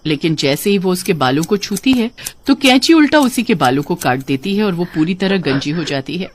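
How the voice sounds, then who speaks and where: A woman's voice: on the low side, expressive, normal speed, a little hiss or hum. A woman storyteller reading a scripted fairy-tale narration.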